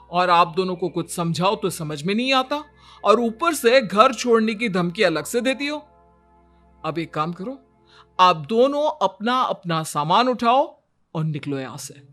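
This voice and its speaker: A man's voice: high-pitched, fairly bright tone. A man playing an exasperated, put-upon young Indian husband and son in a cartoon story, with a weary, complaining manner.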